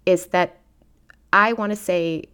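A chatty woman host with a somewhat low voice. She tells stories eagerly and laughs often, and her talk stays bubbly. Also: fairly even intonation, slow, bright, forceful tone, smooth. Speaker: podcast host. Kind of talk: casual podcast chat.

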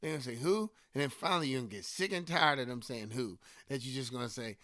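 A man's voice: medium pitch, quick pace, no echo, studio quality. A man with a Philadelphia area accent, co-hosting a casual book-club chat and telling stories with swearing and lots of fillers. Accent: Philadelphia (Delco). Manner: animated storytelling, swears casually, many fillers. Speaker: podcast co-host.

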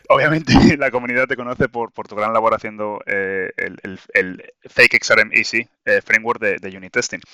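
A man's voice: medium pitch, pushed and bright, clear, resonant tone, no room sound. A man from Spain co-hosting a podcast, joking and chatting casually with many restarts. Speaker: podcast co-host. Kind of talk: casual interview chat.

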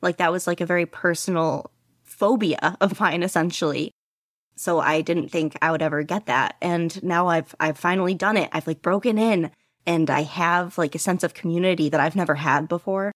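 A woman guest chatting candidly in an interview, rambling and self-deprecating with quick laughs. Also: lowish voice, no echo, clean studio sound. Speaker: podcast guest, podfic reader. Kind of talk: casual interview chat.

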